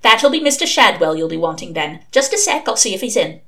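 A woman's voice: medium pitch, sing-song, a little fast, gravelly, fairly bright tone. A woman voicing a chatty, familiar female fortune-teller with colloquial, chirpy speech, in an audiobook. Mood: breezy, businesslike.

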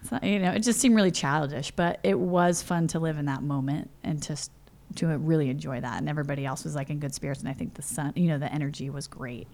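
Woman, forties, from California, low voice, gravelly fry, chatty storytelling, lots of fillers. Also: fairly even intonation, quick pace, few pauses, darkish tone. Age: 40s. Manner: chatty, many fillers like "you know" and "like". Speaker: interview guest. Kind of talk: casual storytelling. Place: home.

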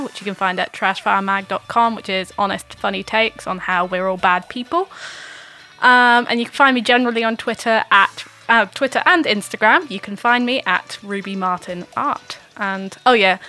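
A woman's voice: mid-range voice, pushed and bright. A woman stand-up comedian chatting casually as a podcast guest, wry and playful.

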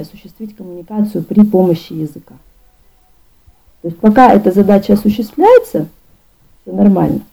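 A woman guest on a podcast, explaining thoughtfully with pauses and restarts. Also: medium pitch, gravelly, highs cut off. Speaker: guest expert on bilingualism. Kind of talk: casual podcast conversation.

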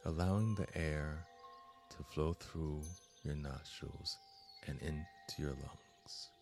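A man with an extremely low voice leads a guided meditation. He speaks very slowly and calmly, leaving long pauses between measured, deliberate phrases. Soft music with chimes plays gently behind him. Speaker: guided meditation host and instructor. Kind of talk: slow guided meditation instruction.

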